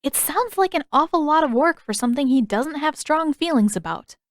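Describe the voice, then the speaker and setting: Defiant teen girl played by a female narrator, raised pitch, pointed questioning, dry recording. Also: expressive, a little fast, dry recording. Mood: pointed, skeptical. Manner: pointed, inquisitive. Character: defiant, questioning fourteen-year-old girl held captive.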